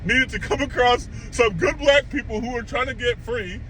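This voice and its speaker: A young man hosting an anime reaction channel, delivering an animated, emphatic rant straight to his viewers. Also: very high-pitched, normal speed. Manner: animated, emphatic, incredulous outbursts; conversational, addresses viewers directly. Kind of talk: opinionated rant. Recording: steady traffic noise behind.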